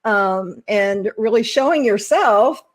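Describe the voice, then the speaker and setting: A woman's voice: medium pitch, lively intonation, slow pace. A middle-aged woman from Southern California, hosting an interview show, encouraging her guest and laughing often. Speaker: host. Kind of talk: casual interview chat.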